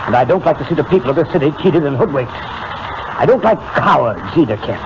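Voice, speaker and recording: A scornful hothead crusader, 40s radio drama, quick and swoopy, muffled old recording. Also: male voice, fairly high pitch, big pitch swings, background noise. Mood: accusing. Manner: blunt, challenging, clipped. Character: hot-headed, scornful male crime campaigner. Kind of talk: 1940s radio acting.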